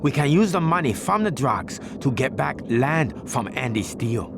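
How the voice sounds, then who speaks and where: Expressive, commanding gang boss. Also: male voice, medium pitch, normal speed, vocal fry, background noise. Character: commanding male gang boss giving ruthless orders. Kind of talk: video game cutscene acting.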